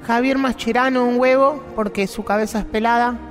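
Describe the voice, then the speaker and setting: A man's voice: extremely high pitch, steady tone, a little fast, big-room sound, soft background music. A man reading a comic list in a dry, matter-of-fact way.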